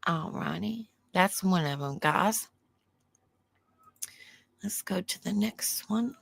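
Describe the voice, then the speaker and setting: A woman with a Southern US accent and a soft, soothing voice hosts a livestream. She chats in a rambling way, reads aloud and answers viewer comments. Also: mid-range voice, slow pace, gravelly, no room sound. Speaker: livestream host. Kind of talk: casual livestream chat, reading aloud.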